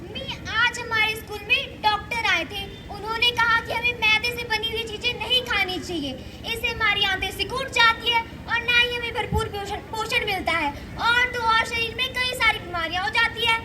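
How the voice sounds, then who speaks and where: A woman's voice: extremely high pitch, fairly even intonation, moderate pace, hardly any pauses. A young female performer playing a curious, fussy schoolgirl daughter in a school stage play, reciting her lines. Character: curious, fussy schoolgirl daughter who loves junk food. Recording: noisy live stage recording.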